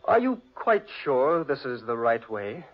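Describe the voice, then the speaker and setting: Muffled vintage radio, curious sceptical gentleman explorer, husky, animated higher voice, theatrical 1940s thriller acting. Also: a little slow. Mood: rattled. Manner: theatrical, old-fashioned exclamations. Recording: muffled 1940s radio recording, music behind.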